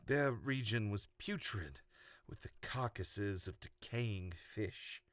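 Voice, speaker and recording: A man's voice: on the low side, expressive, slow, fairly bright tone, dry recording. A man reading aloud in a dramatic storytelling style, as the host and narrator of horror tales.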